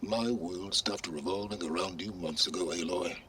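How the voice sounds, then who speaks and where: A man playing an arrogant, self-important scholar in a video game, with pompous, condescending and deliberate phrasing. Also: sits low, fairly bright tone, a little hiss or hum. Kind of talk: video game cutscene acting.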